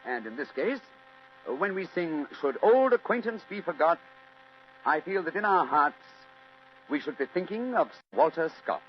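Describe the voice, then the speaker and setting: A man's voice: fairly high pitch, expressive, normal speed, a little hiss or hum, lightly acted. Man acting suave English detective, composed, analytical, richly varied intonation, old radio drama, muffled humming recording. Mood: pleased, assured. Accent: British. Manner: unruffled, precise, formal.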